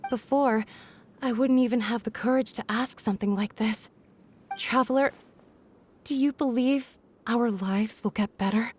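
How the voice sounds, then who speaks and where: Woman playing a timid high-voiced young village woman. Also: measured pace, a little hiss or hum, lightly acted. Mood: cautious, hopeful. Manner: hesitant, nervous, self-correcting. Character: timid, wary young village woman, guarded but polite. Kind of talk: video game voice acting.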